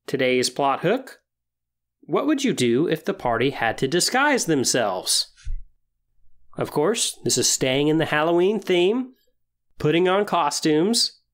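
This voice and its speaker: A podcast host speaks alone in a conversational, explanatory manner. The voice sits at a middle pitch, suited to walking listeners through tabletop role-playing ideas.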